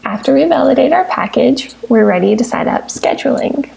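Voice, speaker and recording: Husky, creaky woman narrating a software tutorial. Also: high voice, expressive, normal speed, low-quality recording. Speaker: software tutorial presenter, technical support engineer. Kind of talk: scripted step-by-step screencast narration.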